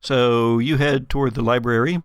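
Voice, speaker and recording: An American man narrating an improvised tabletop game as game master, in a halting manner. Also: mid-range voice, steady tone, clear, resonant tone, dry recording, clean studio sound. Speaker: game master of an actual-play podcast.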